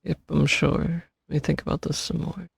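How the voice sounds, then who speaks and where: A gameplay streamer with a gravelly, husky voice, commenting casually and offhandedly into a close mic. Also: mid-range voice, flat delivery, normal speed, no room sound. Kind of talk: casual gameplay commentary, reading choices aloud. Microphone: close microphone over game audio.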